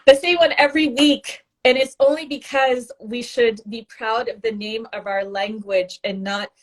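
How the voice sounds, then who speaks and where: A woman's voice: on the high side, steady tone, moderate pace, low-quality recording. A woman journalist giving news updates on a live stream, earnest and emphatic as she presses her points.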